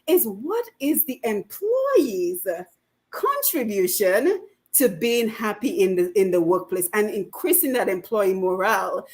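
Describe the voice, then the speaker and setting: A woman's voice: medium pitch, sing-song, unhurried, darkish tone. A woman hosting a radio talk show, with a voice in the middle of the usual range. She poses questions to her guests, reads out viewer comments, and passes the floor from one guest to the next.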